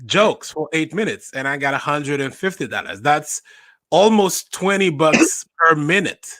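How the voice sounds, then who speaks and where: A young adult man from Haiti, a stand-up comedian telling his story in a casual interview, with animated emphasis. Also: on the high side, unhurried, no room sound.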